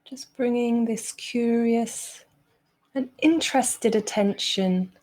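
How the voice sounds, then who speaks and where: A woman guiding a meditation as a teacher, speaking gently and leaving long pauses. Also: fairly high pitch. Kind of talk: guided meditation.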